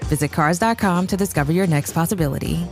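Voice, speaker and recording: A woman with a lowish voice reads a scripted sponsor spot. Faint music runs behind her. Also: quick pace. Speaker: sponsor announcer. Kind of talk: scripted advert read.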